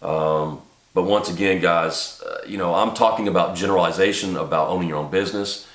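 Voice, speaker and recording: A man's voice: deep voice, brisk, a bit bright, roomy sound. A man hosting his own channel, talking casually to camera about running a small business, slightly congested from a cold, rambling with many ums and restarts. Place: home office.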